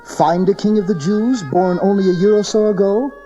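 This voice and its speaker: An actor playing a crafty, obsequious royal adviser in a dramatized audio Bible, insinuating and emphatic. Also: male voice, sits high, fairly even intonation, normal speed, warm tone, highs cut off, faint music behind, lightly acted. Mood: insinuating alarm.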